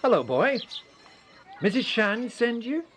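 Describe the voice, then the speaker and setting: A man playing a kindly, brisk wartime village doctor in a radio play, with a Scottish accent and a hearty, avuncular manner. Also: very high voice, sing-song, a bit bright, slight background noise, full character voice. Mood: brisk, gruff.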